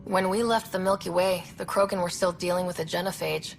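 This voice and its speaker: A woman's voice: lowish voice, fairly even intonation, fairly quick, vocal fry, a little hiss or hum, poor audio. A woman playing a young space explorer in a video game, direct and inquisitive, in a plain conversational voice. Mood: curious, calm. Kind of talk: video game dialogue acting.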